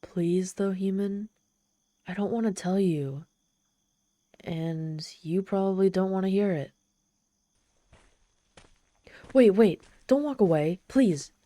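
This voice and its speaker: A woman's voice: mid-range voice, measured pace, mellow, no echo, close to an everyday voice. A woman voicing a proud, lonely, trapped female demon in an ASMR roleplay, sardonic and exasperated with a pleading, vulnerable streak. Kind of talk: ASMR roleplay monologue, dramatic storytelling.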